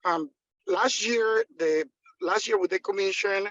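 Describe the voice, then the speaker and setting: A man's voice: high voice, wildly swooping pitch, measured pace, vocal fry, fairly bright tone, no echo. A man from the Dominican Republic with a Dominican accent, a native Spanish speaker, joining a class over slightly dull call audio. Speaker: class participant.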